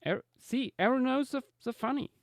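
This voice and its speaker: Creaky man with dry teasing jokes. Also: extremely high pitch, moderate pace, no echo. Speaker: stream guest. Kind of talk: casual joking chat on a live stream.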